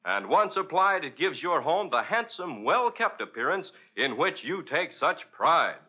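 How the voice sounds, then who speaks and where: A man's voice: a little high, sing-song, a little slow. A man announcing on 1940s radio, reading scripted copy in a polished sales style. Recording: muffled old radio broadcast.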